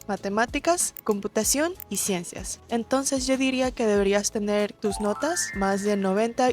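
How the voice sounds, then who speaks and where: A young woman, giving friendly, direct advice to camera in a vlog and dropping in English words. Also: mid-range voice. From Ecuador. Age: young adult. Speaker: vlogger.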